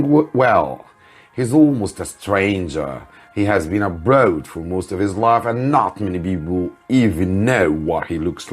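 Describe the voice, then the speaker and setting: A man voicing an opinionated old woman innkeeper in a storybook, with slow, emphatic delivery. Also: low-pitched.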